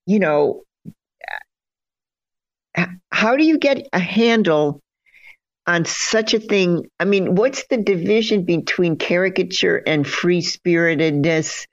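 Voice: medium pitch, no room sound. An interviewer introducing a play and asking a cast member a rambling, stumbling question. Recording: dry, muffled sound.

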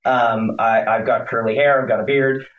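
A man's voice: medium pitch, moderate pace, a little hiss or hum. Roomy, distant man chatting animatedly. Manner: animated, rambling, many restarts and fillers. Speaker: stage actor, interview guest. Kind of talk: conversational interview answer. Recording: distant roomy muffled sound.

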